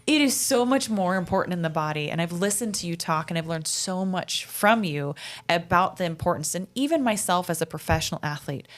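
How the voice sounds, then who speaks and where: A woman in her thirties hosting a podcast interview, asking eager, curious questions and thinking out loud. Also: on the low side, lively intonation, a little fast. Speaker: podcast host. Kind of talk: interview chat.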